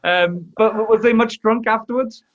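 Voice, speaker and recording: A man's voice: high-pitched, steady tone, moderate pace, fairly bright tone. Welsh man hosting, dull audio. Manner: chatty, leading questions, tells anecdotes. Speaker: podcast host. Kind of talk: casual podcast interview.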